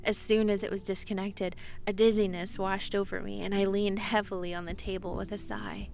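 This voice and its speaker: A woman performs a shy young druid who loves plants, in a romantic fantasy radio play. The voice sits at a medium pitch and sounds earnest and eager. Soft music runs behind it. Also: level tone, normal speed, vocal fry, lightly acted. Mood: dazed, soft. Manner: earnest, stammering when flustered in dialogue. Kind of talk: romantic audio drama, first-person narration and dialogue.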